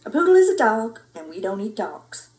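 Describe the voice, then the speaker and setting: A woman voicing a mother cat, firm but kindly, gently correcting her kittens. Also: on the high side, big pitch swings, normal speed. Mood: scolding. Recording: roomy indoor echo.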